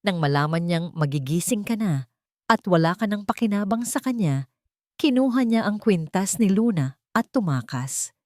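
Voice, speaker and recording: A woman's voice: mid-range voice, expressive, normal speed, a little mellow, no room sound, studio quality, natural voice. A woman voicing a scheming younger brother who feigns sorrowful concern in a storybook, with a Filipino accent. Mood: feigned sorrow. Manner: falsely soothing, sorrowful.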